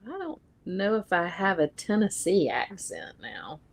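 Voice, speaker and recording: A woman's voice: medium pitch, huge pitch swings, unhurried, gravelly. A woman from North Carolina with a Southern accent, chatting in a plainspoken, teasing way on a live stream. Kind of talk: casual chat on a live stream.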